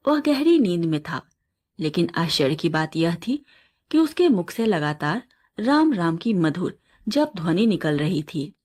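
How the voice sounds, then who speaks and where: A woman reading a scripted moral folk tale as a storyteller, in a measured, expressive storybook delivery. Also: on the low side.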